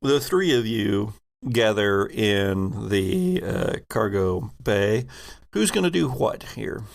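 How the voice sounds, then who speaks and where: A man with a lowish voice serves as game master of a tabletop game. He improvises his narration and explanations, stopping often mid-phrase as he picks his words. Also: animated, takes it slowly, creaky.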